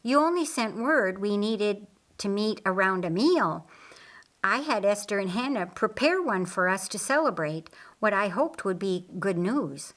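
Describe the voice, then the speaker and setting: A woman voicing a kindly, earnest male master of a household in an audiobook, speaking in a measured, formal way. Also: mid-range voice, expressive, fairly bright tone. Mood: formal, glad.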